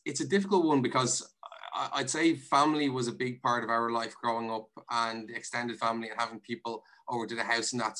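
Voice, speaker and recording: Irish male podcast guest, bright forward voice, level intonation, reflective and measured. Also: mid-range voice, rough recording. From Ireland. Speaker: podcast guest, investment and finance director. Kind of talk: conversational interview answers.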